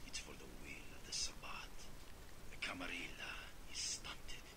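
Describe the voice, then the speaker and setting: An actor playing an ancient, menacing male vampire in a video game, speaking in a gravelly, breathy whisper with archaic, grandiose phrasing. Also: a bass voice, steady tone, a little slow. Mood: menacing, zealous. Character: ancient menacing male vampire, cultured flesh-crafting monster lord.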